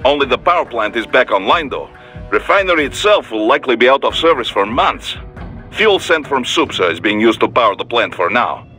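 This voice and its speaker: A seasoned Russian security chief in a video game, with a bright, forceful voice and a Russian accent. He briefs dryly and pragmatically, with lively intonation over faint music. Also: mid-range voice. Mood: matter-of-fact, measured. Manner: measured, dry, matter-of-fact briefing. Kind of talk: video game radio dialogue acting.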